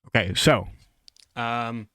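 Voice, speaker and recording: A man's voice: medium pitch, takes it slowly, bright, forceful tone, clear, resonant tone, no room sound. A man giving an informal, improvised lesson in a hesitant, rambling, self-deprecating way, close to the microphone. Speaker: online video host.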